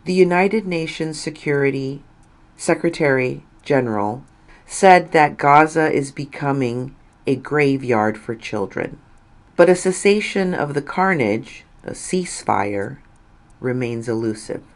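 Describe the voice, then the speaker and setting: Slow-paced woman reading an article. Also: extremely low pitch, expressive. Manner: measured, deliberate phrasing with pauses. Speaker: reader of an article. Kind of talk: scripted narration, read-aloud article.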